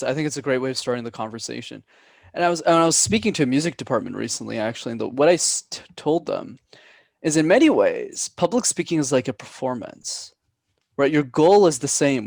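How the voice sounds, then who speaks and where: Clear-spoken young man with gravelly edge. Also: mid-range voice, a little mellow, no echo, clean studio sound. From Canada. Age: young adult. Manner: clear deliberate enunciation, coaching tone. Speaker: public speaking coach, guest. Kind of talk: podcast interview.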